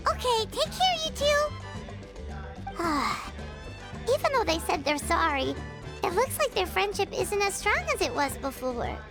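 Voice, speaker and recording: A woman's voice: extremely high pitch, sing-song, unhurried, long pauses, music in the background, full character voice. A woman voicing a tiny, childlike floating companion in a video game, cheerful, curious and outspoken. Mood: concerned. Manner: refers to self in third person, exclamatory.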